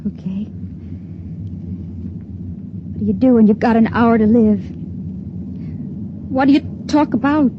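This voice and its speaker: A frightened young stowaway girl, played by an actress in a vintage radio play, her fairly high voice soft, halting and stammering. The old broadcast sounds dull and carries a steady hiss. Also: close to an everyday voice. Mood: wistful. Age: young adult. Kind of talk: 1950s radio drama acting.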